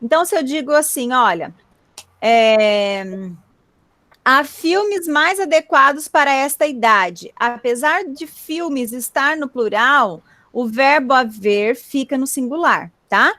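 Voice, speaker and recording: A woman's voice: high voice, animated, takes it slowly, pushed and bright, dry recording. A woman teaching a grammar lesson in an online class, explaining patiently and checking in with her students often. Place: home, online video class. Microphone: computer mic on a video call.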